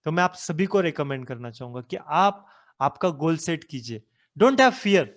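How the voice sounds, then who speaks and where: A man's voice: on the high side, big pitch swings, unhurried. A man in his thirties from India, a business coach giving a motivational talk who asks the listeners rhetorical questions. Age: 30s.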